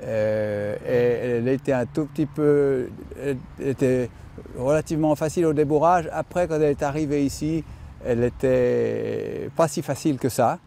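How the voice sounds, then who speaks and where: An older man who owns and breeds horses, answering interview questions with many pauses and restarts. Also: mid-range voice, vocal fry, mellow, slight background noise. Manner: hesitant, many fillers and restarts. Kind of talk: interview reply.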